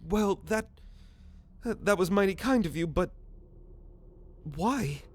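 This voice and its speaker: Jittery high-pitched young alien captive, played by a man. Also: takes it slowly, full character voice. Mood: bewildered, relieved. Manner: stammering, hesitant, excitable. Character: jittery, earnest young male alien captive, wary yet polite. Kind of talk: ASMR roleplay audio drama.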